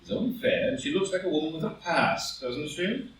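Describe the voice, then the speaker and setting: A man's voice: on the high side, very animated, brisk, a bit bright. A man playing a proud, anxious married statesman who fears scandal, earnest and melodramatic, in a stage drama reading. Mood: determined, urgent. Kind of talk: live read-through of a stage play as audio drama. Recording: very echoey room, distant mic, faint noise.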